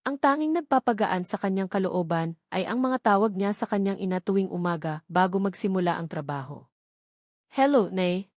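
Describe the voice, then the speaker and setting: A woman narrates a dramatic audiobook story from a script. Her pitch sits in the middle range for a woman, and the recording is dry and close. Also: a little fast, hardly any pauses, a little mellow, dry recording.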